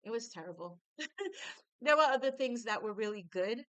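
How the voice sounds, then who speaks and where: A middle-aged woman from New York telling her childhood story, halting and reflective, with frequent fillers. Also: fairly high pitch, expressive, fairly bright tone, smooth, dry recording. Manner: reflective, halting with fillers, laughs through painful memories. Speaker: singer. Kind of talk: personal storytelling.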